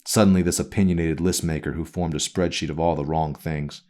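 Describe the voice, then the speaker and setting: A man reading a novel aloud as its author, in a brooding, dramatic narration style with deliberate phrasing. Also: very deep voice, fairly even intonation, a little mellow.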